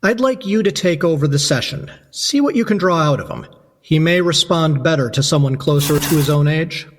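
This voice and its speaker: A man's voice: fairly high pitch, lively intonation, moderate pace, long reverb tail, natural voice. An actor playing a cold, condescending male asylum doctor with formal, precise diction. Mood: clinical. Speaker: asylum alienist.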